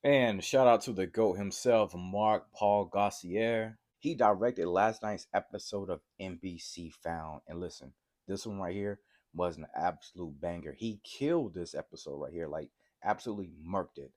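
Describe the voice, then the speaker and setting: Male TV reviewer, congested from a cold and sore throat, excitable chatty recap delivery, very low pitch. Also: moderate pace. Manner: excitable, keeps asking "right?" to viewers. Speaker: TV episode reviewer. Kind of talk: casual TV recap vlog.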